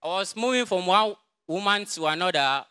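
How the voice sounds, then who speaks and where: A young man with a Cameroonian English accent speaks in a quiet, halting way, the voice bright, crisp and rather high for a man. He is in a large crowded church, close to a handheld mic. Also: expressive. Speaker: church member giving testimony. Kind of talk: testimony in church. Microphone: handheld mic held by another person.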